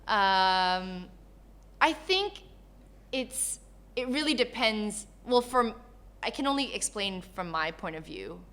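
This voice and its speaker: A young woman talks in a bright, crisp voice, sitting in as a podcast guest. She laughs easily and tells stories with lively energy. She was born in Malaysia and grew up in Canada. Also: mid-range voice, slow. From Malaysia, raised in Canada. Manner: laughs often, animated storytelling. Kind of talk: casual interview chat.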